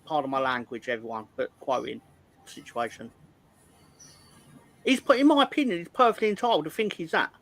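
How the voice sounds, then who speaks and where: A man co-hosting a casual late-night football chat, speaking in a measured, wry way. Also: a little high, fairly bright tone.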